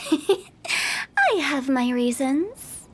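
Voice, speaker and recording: A woman's voice: sits high, very animated, extremely slow, a bit bright, a little hiss or hum, heavily acted. An actress playing a playful, mischievous young female funeral director in an anime-style video game, teasing and breezy with cheerful menace. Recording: game music behind the voice.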